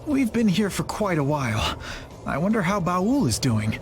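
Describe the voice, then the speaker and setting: An actor playing an earnest, well-bred young companion in an anime video game, speaking thoughtfully and formally. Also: male voice, sits high, wildly swooping pitch, normal speed, faint music behind.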